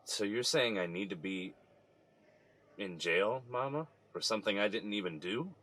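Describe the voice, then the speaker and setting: A man's voice: lowish voice, animated, normal speed, fairly bright tone. A man playing a weary, embattled middle-aged son in an audio drama, downcast, frustrated and bitter.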